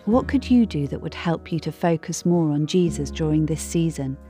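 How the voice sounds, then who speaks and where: Low-voiced woman reading a gentle devotional. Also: lively intonation, normal speed, soft background music. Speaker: devotional reader. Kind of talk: scripted devotional narration.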